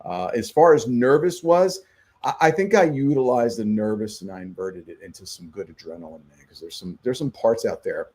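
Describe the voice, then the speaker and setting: A man's voice: medium pitch, a little fast. A middle-aged man, an actor and touring musician, talking earnestly in a casual radio interview. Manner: earnest, animated, says "man" and "you know" a lot.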